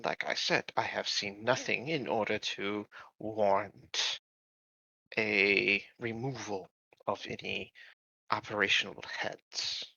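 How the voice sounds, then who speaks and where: A man playing a formal, guarded corporate agent in a tabletop roleplaying game, choosing measured, evasive words. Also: on the low side, fairly even intonation, vocal fry, sharp tone, husky, dry recording, lo-fi sound, full character voice. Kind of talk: improvised tabletop roleplay dialogue.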